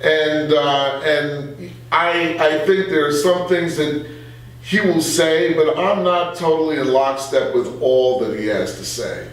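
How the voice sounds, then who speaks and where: A man's voice: fairly high pitch, takes it slowly. An older man chatting casually about his views, opinionated and given to anecdote. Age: older, 60s or above. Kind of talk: casual political discussion. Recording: echoey room, distant from mic.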